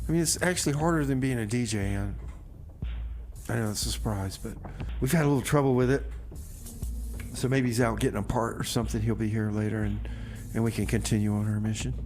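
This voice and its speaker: A man's voice: lowish voice, brisk, a little mellow, slight background noise. A man hosting a community radio show with casual, comic, rambling banter and folksy song announcements.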